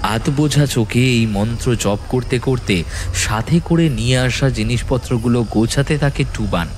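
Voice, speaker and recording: A man narrating a scripted horror story in a dramatic, suspenseful manner with deliberate pauses. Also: mid-range voice, darkish tone, slight background noise. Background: background music and sound effects.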